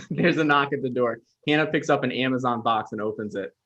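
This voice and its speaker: A man's voice: mid-range voice, brisk, fairly bright tone, muffled recording. A man reading stage directions as scripted narration for a comedy table read.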